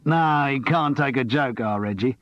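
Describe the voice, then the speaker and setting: Guy doing a genial middle-aged husband, unhurried, dull dry audio. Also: mid-range voice, dry recording, voice pushed up, natural voice. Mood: hearty.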